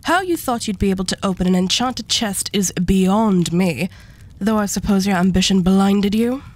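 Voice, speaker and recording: A woman playing an arch devil who hoards relics, speaking with unhurried, condescending and politely menacing poise in a scripted ASMR roleplay. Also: medium pitch, a little mellow, no echo, lightly acted. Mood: haughty, amused.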